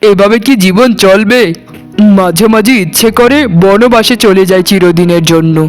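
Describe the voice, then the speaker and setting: Man acting put-upon cartoon labourer husband, high-pitched, plaintive, reproachful, soft music behind. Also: moderate pace, close to an everyday voice. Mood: weary, reproachful. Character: put-upon, poor labourer husband, long-suffering and pleading.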